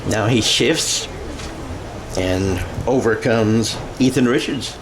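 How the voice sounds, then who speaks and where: An American man giving live radio-style play-by-play of a football game, halting and correcting himself as he goes. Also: fairly low pitch. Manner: halting, self-correcting as he calls plays. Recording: clear background noise of a ballgame.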